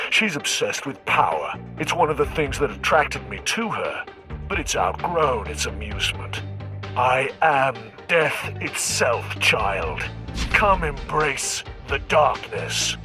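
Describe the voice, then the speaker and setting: A man's voice: mid-range voice, big pitch swings, slow pace, over music. A man voicing a proud, sinister Sith lord in a video game, imperious and grandiose with a menacing manner. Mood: sinister, scornful.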